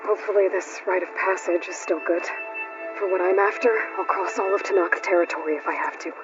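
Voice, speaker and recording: A woman's voice: lowish voice, steady tone, a little fast, close to an everyday voice. A young woman playing a determined, guarded warrior scout in a video game, speaking in a matter-of-fact, understated way. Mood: focused, determined. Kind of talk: naturalistic game dialogue. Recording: dull game audio with music.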